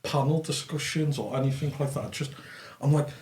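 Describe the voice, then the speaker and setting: A man with a Liverpool accent, co-hosting a casual podcast chat in an animated, joking way with casual swearing. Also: medium pitch, moderate pace. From Liverpool, England. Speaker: podcast co-host. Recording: echoey room, faint background noise.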